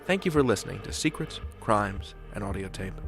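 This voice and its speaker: A man hosting a podcast, reading a scripted outro and credits in a measured, theatrical announcer style. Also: lowish voice, sing-song, vocal fry, fairly bright tone, soft background music. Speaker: podcast host.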